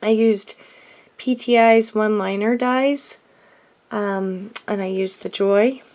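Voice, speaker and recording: Woman vlogger, hoarse from a cold, unhurried and chatty, mid pitch. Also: steady tone, a little mellow. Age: adult. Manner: chatty, with frequent ums and self-corrections. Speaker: card-making vlogger. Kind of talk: casual craft show-and-tell.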